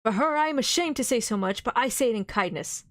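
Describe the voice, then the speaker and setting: A woman's voice: a little high, lively intonation, a little fast, no room sound, studio quality, pitched above the performer's own voice, lightly acted. A woman voicing a grave elderly foreign professor in a read-aloud novel, speaking formally, sternly and earnestly.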